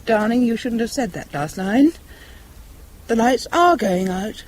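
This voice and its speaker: An actress playing a devoted, anxious wife in a radio drama, affectionate and theatrical. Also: female voice, on the high side, animated, unhurried, slight background noise. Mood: playful.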